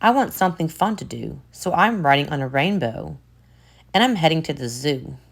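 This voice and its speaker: Female teacher, storybook read-aloud; low, gravelly voice with lively swings, unhurried; hushed asides. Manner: expressive, drops to hushed whispered asides. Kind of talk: children's book read-aloud.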